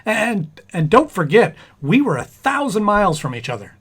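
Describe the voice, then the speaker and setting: A man's voice: high-pitched, very animated, normal speed, a bit bright, husky, exaggerated voice. A man acting a flustered, eager young husband and employee in an old-time radio sitcom, stammering and earnest. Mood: relieved, chatty.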